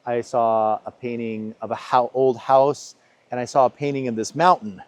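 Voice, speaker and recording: A man's voice: mid-range voice, fairly even intonation, normal speed, no room sound. Friendly American male interviewer. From United States. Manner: friendly, leading questions, talks over answers. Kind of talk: interview conversation.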